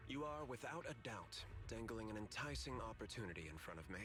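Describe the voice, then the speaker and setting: A man acts out a shrewd corporate dealmaker from a video game. His voice sits fairly low, with smooth, courteous, measured delivery and a touch of theatre. Music plays clearly behind him. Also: animated, natural voice. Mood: cool, dismissive. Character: shrewd, calculating corporate man, politely self-serving.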